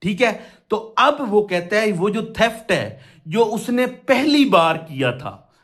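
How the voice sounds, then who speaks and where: Man, Pakistani accent, high-pitched, slow pace, didactic lecture style, rhetorical questions. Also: sing-song, a bit bright. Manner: didactic, asks rhetorical questions and answers them; reads quoted provisions slowly in segments. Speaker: law lecturer. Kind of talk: explanatory lecture mixing Urdu with English legal terms.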